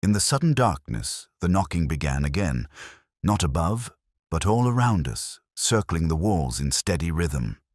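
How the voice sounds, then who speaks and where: A man reading a scripted first-person detective story in a measured, dramatic storytelling manner. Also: low-pitched, very animated, darkish tone, no room sound, clean studio sound. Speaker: audiobook narrator.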